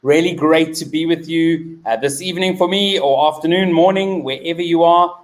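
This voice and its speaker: Guy hosting a live online interview, voice on the higher side, kinda halting delivery. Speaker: host.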